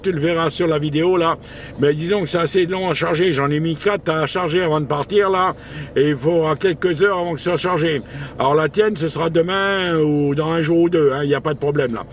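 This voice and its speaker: A man with a fairly high voice chats casually as a radio operator. His delivery is informal and hesitant, sprinkled with slang. He is recorded outdoors with a light layer of background noise. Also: a little fast. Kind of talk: casual radio contact chat. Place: outdoors, mobile radio spot by a vehicle. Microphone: handheld camera picking up the voice. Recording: faint outdoor and vehicle noise.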